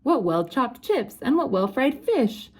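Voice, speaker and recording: Woman doing a picky hungry king from a kids book, slow and bossy. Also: fairly high pitch, sing-song, pitched above the performer's own voice. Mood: kindly. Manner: demanding, picky. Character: hungry, fussy storybook king.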